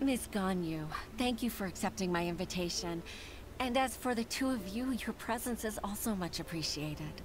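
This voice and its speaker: A woman voicing an earnest, self-doubting middle-aged secretary in a video game, speaking hesitantly with soft sighs. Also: medium pitch, expressive, moderate pace, background noise, close to an everyday voice. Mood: polite. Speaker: game character. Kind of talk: game voice acting.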